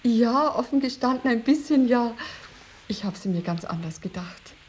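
An actress playing a naive, chatty, tactless young wife in a German radio drama, effusive and artless. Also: female voice, on the high side, expressive, moderate pace, darkish tone, slight background noise, lightly acted. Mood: artless, eager.

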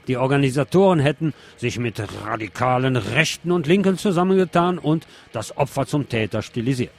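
A man presenting on the radio, reading scripted news and adding commentary. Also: mid-range voice.

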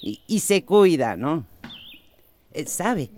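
Feisty, swooping-voiced Central American housekeeper. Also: female voice, deep voice, big pitch swings, slow pace, a little mellow, slight background noise, full character voice. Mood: friendly, chatty. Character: feisty, patient, bickering older housekeeper, devoted and long-suffering. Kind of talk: radio drama acting.

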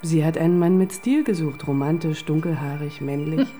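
An actress in a radio crime drama playing the smooth, suggestive woman who manages a dating site. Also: low voice, normal speed, warm tone, faint music behind, natural voice.

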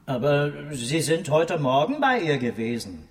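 Spöttischen Kommissar. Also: male voice, on the high side, very animated, unhurried. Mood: needling. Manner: mocking, needling. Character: sarcastic provoking male police detective. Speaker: police detective. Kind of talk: radio crime drama.

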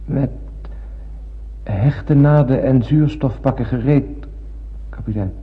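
An actor playing an eager, awestruck young sailor on a spaceship in a radio play, speaking earnestly and poetically. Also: male voice, medium pitch, takes it slowly. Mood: dutiful. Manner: earnest, poetic, literary delivery. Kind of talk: radio drama acting. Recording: muffled radio play, clear background hum.

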